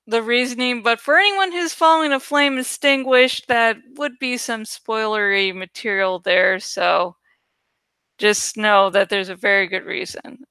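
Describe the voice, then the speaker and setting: A woman casually rambling as she edits artwork for her channel, thinking out loud, self-deprecating and swearing freely. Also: medium pitch, smooth, dry recording. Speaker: video creator and host. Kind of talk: casual rambling commentary while editing artwork.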